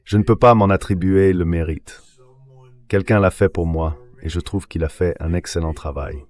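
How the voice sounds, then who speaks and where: A man's voice: sits low, fairly even intonation, moderate pace, a little mellow. A man's synthetic dubbing voice giving political commentary as an interview guest.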